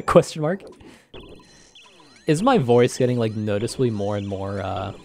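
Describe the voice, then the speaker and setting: A young man, slightly hoarse, rambling through casual game commentary with self-deprecating asides and frequent laughs. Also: lowish voice, expressive, measured pace, darkish tone, a little hiss or hum. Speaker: gaming commentator.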